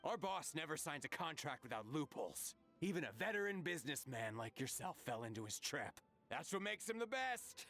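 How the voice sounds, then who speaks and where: A man's voice: a little high, very animated, normal speed, a bit bright, soft background music, exaggerated voice. A man voicing a smug, scheming henchman in a video game, with a taunting, self-satisfied manner. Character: smug scheming male henchman, cocky and slippery.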